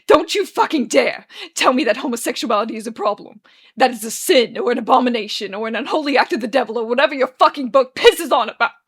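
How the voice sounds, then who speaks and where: Woman acting an anguished, furious young man, high-pitched. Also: huge pitch swings, a little fast, creaky, few pauses, bright, forceful tone, husky, full character voice. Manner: explosive, wailing, sweary outbursts.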